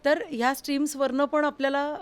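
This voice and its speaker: A woman's voice: sits high, flat delivery, fairly quick. Encouraging woman interviewer. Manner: encouraging, affirming. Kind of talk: conversational interview.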